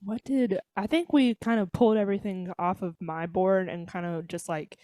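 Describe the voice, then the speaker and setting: A woman's voice: mid-range voice, steady tone, normal speed, warm tone, dry recording, clean studio sound. A woman in her early twenties, a band member, chatting casually with slangy, joking phrasing. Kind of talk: casual chat.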